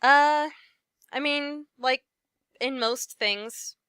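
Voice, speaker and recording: A woman's voice: high voice, extremely slow, a bit bright, no echo, studio quality. A young adult woman, a voice actress, chatting casually in an interview, with lots of fillers and easy laughs.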